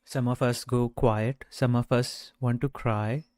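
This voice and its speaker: A man guiding a meditation in a gentle, measured way, speaking off the cuff with long pauses. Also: mid-range voice, fairly even intonation, dry recording, studio quality. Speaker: meditation guide. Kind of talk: guided meditation, unscripted talk.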